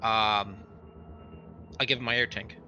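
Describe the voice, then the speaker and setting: A man with a bright, tense-edged voice plays a tabletop roleplaying game in casual speech. His pickup is dull and lo-fi, and faint music plays behind. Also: fairly low pitch, fairly even intonation, unhurried. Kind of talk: casual in-character game chat.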